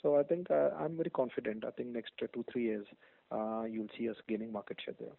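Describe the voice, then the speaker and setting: A man's voice: medium pitch, fast talker, creaky. A man with an Indian accent answering as an executive on a business call, speaking off the cuff with hesitant phrasing and emphatic repetition. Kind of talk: unscripted business commentary. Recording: tinny narrowband conference phone line.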